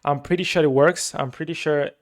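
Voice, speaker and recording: A man's voice: fairly high pitch, steady tone, brisk. A young man with a Brazilian accent, talking through his work week in a loose, rambling vlog with many fillers and repeats. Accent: Brazilian Portuguese. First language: Portuguese. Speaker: agency owner recapping his week. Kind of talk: casual weekly recap vlog.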